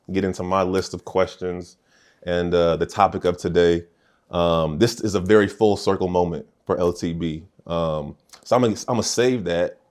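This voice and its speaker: A man with a very low voice hosts a podcast. He talks in a loose, casual way, using slang and easy fillers as he introduces his guests and the topic. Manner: relaxed, conversational, uses slang. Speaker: podcast host.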